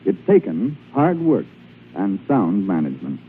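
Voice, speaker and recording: A man with an American accent announcing formally from a script in a measured, promotional 1940s radio style. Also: deep voice, wildly swooping pitch. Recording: tinny 1940s radio broadcast, music behind.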